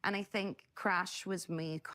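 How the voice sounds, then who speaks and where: A British woman giving a slow, thoughtful interview answer, pausing as she searches for her words. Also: fairly low pitch, fairly even intonation, pushed and bright. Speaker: interviewed musician in a played clip.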